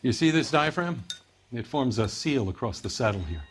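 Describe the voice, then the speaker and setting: A man's voice: mid-range voice, animated, normal speed, a little hiss or hum, lightly acted. A man playing a defensive, harried heater company boss in a video game, talking in a matter-of-fact way. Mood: matter-of-fact, concerned. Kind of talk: video game dramatic acting.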